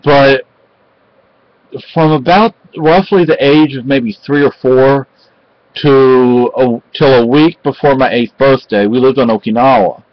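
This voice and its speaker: A middle-aged man from Spain chatting casually at home in a halting, rambling way with lots of fillers, recorded close on a webcam. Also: mid-range voice, no room sound. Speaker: vlogger. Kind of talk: casual home vlog chat. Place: cluttered home room with bookshelves. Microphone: webcam at close range. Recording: dull lo-fi webcam audio.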